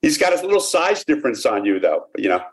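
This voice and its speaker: A man hosting a sports podcast, welcoming guests and asking questions in a halting, filler-heavy way. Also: mid-range voice, very animated. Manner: halting, many "uh" fillers and restarts. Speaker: podcast host. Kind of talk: casual podcast interviewing.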